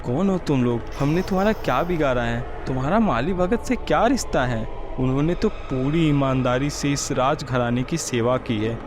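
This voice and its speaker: A man's voice: medium pitch, moderate pace, hardly any pauses, background noise, voice pushed up, close to an everyday voice. A man voicing an anxious, protective young husband and father in a horror story, speaking with earnest urgency. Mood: alarmed. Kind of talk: Hindi horror storybook dialogue.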